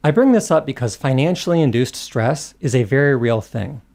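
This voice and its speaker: A man reading scripted video essay narration in a measured, articulate way, with long, carefully built sentences. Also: medium pitch, lively intonation, darkish tone.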